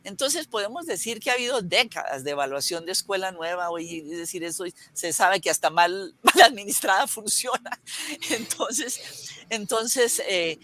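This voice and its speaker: Older Colombian woman, guest educator: bright and forceful, a rambling talker with many restarts, dry close sound. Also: medium pitch, moderate pace, dry recording. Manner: rambling storyteller with frequent restarts and fillers. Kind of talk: reminiscing in a video interview.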